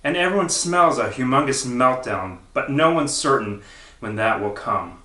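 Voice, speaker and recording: A man's voice: medium pitch, expressive, moderate pace, sharp tone. A man reading poetry aloud with a wry, emphatic delivery. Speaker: poetry reader. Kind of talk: poetry recital. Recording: roomy, echoing recitation.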